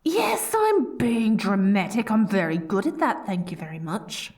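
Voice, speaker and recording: Casting: woman as haughty yet lovestruck evil queen for ASMR roleplay; slow, swooping, melodramatic delivery that can turn flustered, dry close sound. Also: mid-range voice, huge pitch swings, a little mellow, dry recording, full character voice. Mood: dramatic, nervous. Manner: grandiose proclamations breaking into flustered stammering. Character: dorky, theatrical evil queen, haughty yet secretly smitten. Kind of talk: scripted ASMR roleplay acting.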